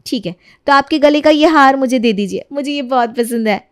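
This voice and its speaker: A woman's voice: high-pitched, normal speed, smooth, pitched above the performer's own voice, natural voice. A woman voicing an eager young newlywed daughter-in-law, sweet and coaxing, in an acted storybook tale. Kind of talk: acted Hindi storybook narration.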